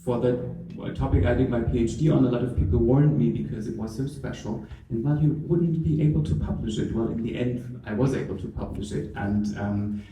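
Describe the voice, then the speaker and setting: A man answers on a discussion panel in a thoughtful, soft-spoken way, letting his sentences trail off. His voice is very dark and relaxed. He is heard from a distance in an echoey room. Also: medium pitch, brisk. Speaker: scientist panelist. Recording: echoey room, mic far off.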